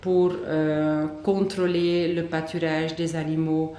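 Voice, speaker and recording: A woman's voice: deep voice, flat delivery, slow, dark tone. A woman giving a spontaneous interview answer, hesitant and searching for words. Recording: roomy echo on interview audio.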